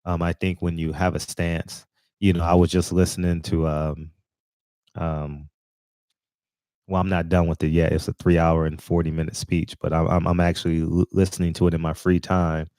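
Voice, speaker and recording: A man in his forties hosts his own commentary channel, talking off the cuff. His voice sits very low, and the sound is close and dry with no room around it. He rambles, restarting sentences and leaning on fillers. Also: little pitch movement, normal speed, a little mellow, no room sound. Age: 40s. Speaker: channel host. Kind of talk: casual commentary monologue.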